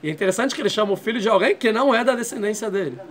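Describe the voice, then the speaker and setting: Man teaching a torah class, high voice, patient, walks through each point. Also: expressive, racing. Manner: explains step by step, checks in with "tá?". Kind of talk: classroom lecture.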